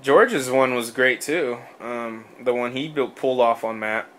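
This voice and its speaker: A man's voice: medium pitch, unhurried, a bit bright. A man co-hosting a podcast, talking in a rambling, casual way with many fillers, restarts and swearing. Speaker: podcast co-host. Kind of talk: casual sports chat.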